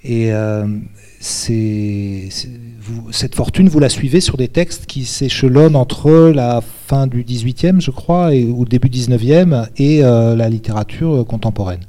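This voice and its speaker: A man's voice: medium pitch, mellow, no room sound. Hesitant man moderating an interview. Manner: halting, hesitant, with many pauses and restarts. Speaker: moderator interviewing a guest at a translation conference. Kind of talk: live onstage interview.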